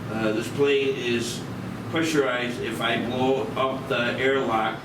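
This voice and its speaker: A man plays a bitter, desperate bomber in an airplane spoof, speaking slowly and haltingly. He is far from the mic in an echoey room. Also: medium pitch, steady tone, noisy background, close to an everyday voice. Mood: menacing. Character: bitter desperate male bomber threatening a plane. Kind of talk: amateur comedy table read.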